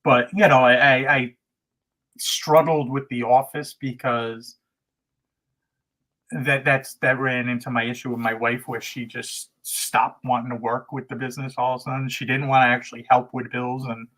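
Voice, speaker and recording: A man's voice: mid-range voice, level tone, moderate pace, fairly bright tone, rough recording. A man from the United States, a guest on a casual livestream, explaining things in a meandering way with many restarts. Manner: meandering, full of restarts and hedges.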